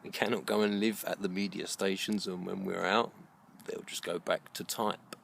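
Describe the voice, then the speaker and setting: A middle-aged British man giving a slow, reflective monologue in an earnest, deliberate way with halting phrasing. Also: sits low, fairly even intonation, creaky, a bit bright, a little hiss or hum. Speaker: video blogger.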